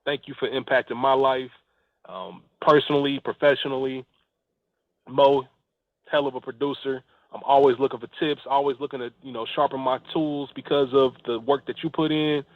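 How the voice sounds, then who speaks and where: A man's voice: medium pitch, steady tone, normal speed, dry recording, phone-quality sound. A man speaking an earnest, appreciative thank-you as a guest leaving a voice note. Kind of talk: heartfelt spoken thank-you message.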